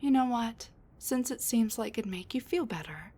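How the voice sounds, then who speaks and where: A woman playing a sassy, weary female supervillain in a roleplay audio drama, talking in a casual, wry, halting way straight to the listener. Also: a little high, expressive, warm tone, husky. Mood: wry, guarded.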